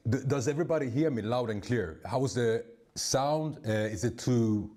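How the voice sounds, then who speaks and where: A man's voice: medium pitch, normal speed. A man with a strong voice casually hosting a live stream, greeting viewers like friends. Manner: relaxed, addresses viewers as bro and my people. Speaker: livestream host.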